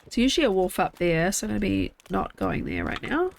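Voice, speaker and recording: A woman's voice: mid-range voice, huge pitch swings, brisk, gravelly, a little hiss or hum. A young woman streamer with a congested, stuffy nose, chatting casually and thinking aloud as she plays a game from home. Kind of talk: casual live gameplay chat.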